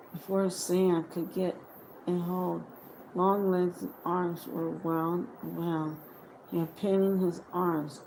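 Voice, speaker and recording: A woman reading fiction aloud as a dramatic audiobook narrator, deliberately and in short phrases. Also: lowish voice, a little mellow, slight background noise, low-quality recording. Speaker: audiobook reader.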